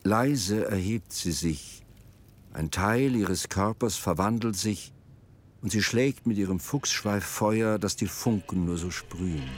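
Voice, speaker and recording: Male storyteller for radio drama: lowish voice, deliberate, expressive delivery, faint ambience behind. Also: slight background noise. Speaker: narrator.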